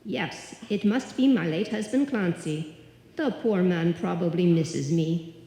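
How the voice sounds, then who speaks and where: A woman's voice: fairly low pitch, measured pace, warm tone. A woman playing a bossy older Irish widow and landlady in live old-time radio comedy, with a dramatic, crooning delivery. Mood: awed. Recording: echoey hall, live stage performance.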